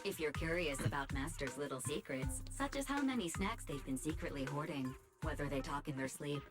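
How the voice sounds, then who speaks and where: A female AI assistant in a video game, formal and self-important. Her precise speech swings widely in pitch, with a cheeky, meddling streak. Music plays clearly underneath. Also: expressive, fairly quick.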